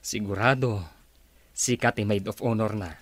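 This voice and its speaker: An actor playing an earnest, lovestruck young man in an Ilocano radio drama, with a husky voice and an Ilocano accent. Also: lowish voice, moderate pace, no room sound, lightly acted. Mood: amused, teasing.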